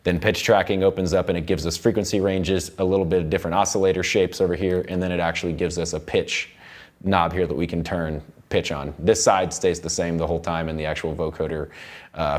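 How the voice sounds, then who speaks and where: Deep-voiced man giving a software tutorial. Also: fairly even intonation, brisk. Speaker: audio production instructor. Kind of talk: software tutorial, explaining step by step. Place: recording studio control room, indoors.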